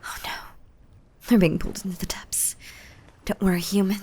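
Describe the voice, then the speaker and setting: Woman acting as a tender mermaid, audio roleplay, breathy whisper, creaky edges, doting and soothing. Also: mid-range voice, level tone, normal speed, a little mellow. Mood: urgent, worried. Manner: soothing, doting, uses pet names. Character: gentle, nurturing mermaid rescuing a drowning human. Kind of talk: roleplay ASMR audio drama.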